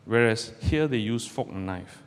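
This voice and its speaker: A middle-aged man from Malaysia, a barrister giving a measured, deliberate public talk to students from a podium in a lecture hall. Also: medium pitch, expressive, clear, resonant tone. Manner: measured, deliberate delivery with pauses. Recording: roomy hall with long reverb, faint background noise.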